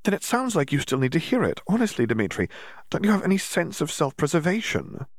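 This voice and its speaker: A man's voice: fairly high pitch, very animated, fast, dry recording, clean studio sound, voice pushed up. A man voicing an anxious teenage witch girl, who lectures in an exasperated way. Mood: exasperated lecturing.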